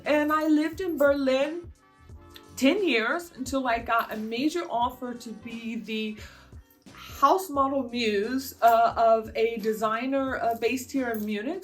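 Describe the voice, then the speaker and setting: A fairly high-voiced American woman in her fifties talks through viewer questions in a loose, meandering way. She leans on fillers as she goes. Soft music plays faintly underneath. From United States. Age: 50s. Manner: chatty and meandering, with frequent fillers. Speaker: vlogger answering viewer questions. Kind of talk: casual Q&A monologue.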